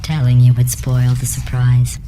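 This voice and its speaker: A woman voicing a coldly cruel female game AI with robotic processing, deadpan, sarcastic and falsely sweet. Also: very deep voice, measured pace, roomy sound, a little hiss or hum. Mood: deadpan mockery. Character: female artificial intelligence running tests, passive-aggressive, coldly cruel. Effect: synthetic robotic processing. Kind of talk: video game character dialogue.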